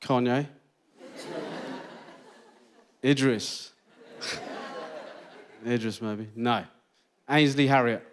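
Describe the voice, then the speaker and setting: British comedian over loud laughter. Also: male voice, mid-range voice, extremely slow, long pauses, a loud crowd, very noisy. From Britain. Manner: deadpan storytelling with punchlines. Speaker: stand-up comedian. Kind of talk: stand-up comedy set. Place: large theatre with live audience. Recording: stage mic in theatre. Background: loud audience laughter.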